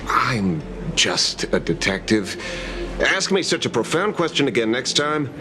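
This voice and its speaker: A man playing a wry, world-weary but sharp private detective in a video game, speaking in a dry, musing way. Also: medium pitch, big pitch swings, takes it slowly, music in the background. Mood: wry, philosophical.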